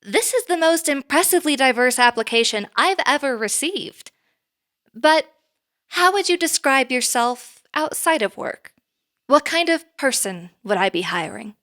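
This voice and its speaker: Poised, formal manager, lightly high-pitched. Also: female voice, very animated, a bit bright, full character voice. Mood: courteous, intrigued. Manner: formal, measured, polite. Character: poised, courteous woman manager, orderly and businesslike. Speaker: actor in an audio drama. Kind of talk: naturalistic audio drama acting.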